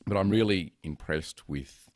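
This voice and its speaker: A man who runs a podcast, chatting casually about his recording gear in a loud, resonant voice, rambling with lots of ums and self-corrections into a close headset microphone. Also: low voice, measured pace, no room sound. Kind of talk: casual gear talk to camera. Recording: dry, close sound.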